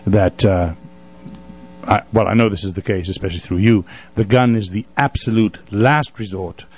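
A man's voice: lowish voice, moderate pace. A man hosting a conversational radio interview, speaking emphatically and rephrasing his points as he goes. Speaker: radio host.

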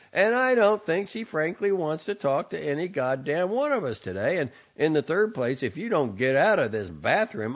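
A man's voice: mid-range voice, voice pushed up. A man reading aloud and voicing a sarcastic, short-tempered young man who swears and mocks. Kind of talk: audiobook character voice. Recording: dull lo-fi recording.

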